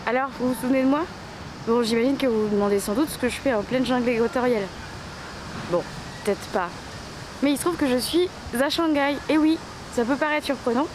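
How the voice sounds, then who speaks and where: Young woman chatting to camera, bit high voice, city and train noise behind. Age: young adult. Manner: chatty, playful asides to viewers. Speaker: travel vlog host. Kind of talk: casual piece to camera. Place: outdoors in a city, later a fast train.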